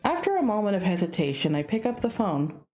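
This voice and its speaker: A woman's voice: medium pitch, sing-song, a little fast, mellow. A woman reading a visual novel aloud in a casual, informal let's-play style. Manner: informal, stumbles over unfamiliar names. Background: music.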